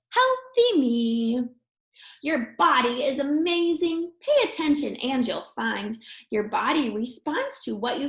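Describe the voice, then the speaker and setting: High-voiced woman reading to kids, roomy sound. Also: creaky. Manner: encouraging, addresses young listeners directly. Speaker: teacher reading a picture book aloud to children. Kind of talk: expressive children's story read-aloud with encouraging asides.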